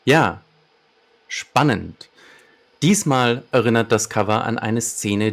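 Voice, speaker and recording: Male co-host presenting episode summaries. Lowish register with plenty of vocal fry. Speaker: podcast co-host. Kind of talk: scripted episode summary and discussion.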